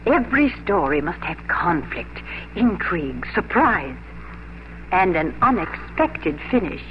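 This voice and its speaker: A woman's voice: fairly low pitch, very animated, measured pace. An actress playing a shrewd, worldly older society lady and matchmaker, knowing and theatrical, in 1950s radio drama style. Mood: knowing, sly. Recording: muffled, hissy old radio broadcast.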